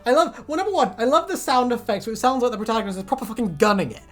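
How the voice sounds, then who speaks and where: A woman's voice: on the high side, expressive, very fast. A woman co-hosting a casual reaction chat about fan predictions, with a jokey, sweary, giggly manner. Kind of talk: casual banter commentary. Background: music.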